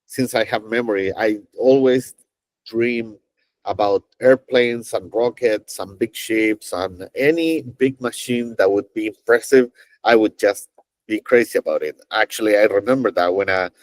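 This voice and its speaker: Man, middle-aged, halting interview guest, dry close mic. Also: lowish voice, dry recording, low-quality recording. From Chile. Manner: halting, many fillers and restarts, storytelling. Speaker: NASA engineer, guest. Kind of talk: conversational interview answers.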